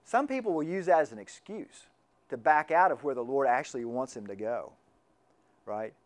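A man's voice: medium pitch, animated, normal speed. A man giving a conversational classroom lecture on missions and early medicine, often repeating words as he restarts sentences and checking in with his listeners.

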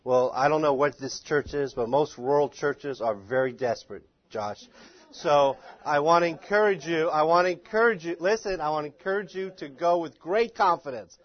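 A man's voice: on the high side, moderate pace, dry recording. Folksy male class instructor, slightly dull sound. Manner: folksy, teasing, encouraging. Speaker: instructor leading a church-planting class. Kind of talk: informal teaching, addressing a group.

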